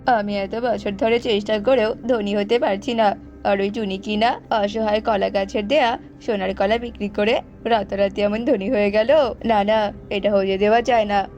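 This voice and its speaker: A woman's voice: mid-range voice, fairly even intonation, normal speed, darkish tone, muffled recording, soft background music, voice pushed down, lightly acted. A woman voicing a greedy, envious bird bully with a demanding, menacing manner in a children's cartoon.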